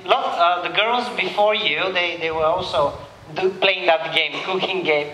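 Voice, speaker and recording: A man's voice: high-pitched, fairly even intonation, a little slow, a crowd in the background. A man in his thirties, a teacher quizzing students in a casual, jokey, encouraging way and repeating their answers back. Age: 30s. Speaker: English teacher and examiner. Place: indoor language-centre room. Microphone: headset. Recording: echoey classroom.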